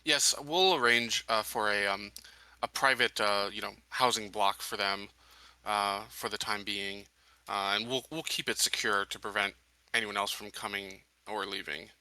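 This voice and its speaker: A man playing a tabletop game, talking casually in a halting, matter-of-fact way. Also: lowish voice, fairly even intonation, bright, forceful tone, clean tone, no echo, rough recording.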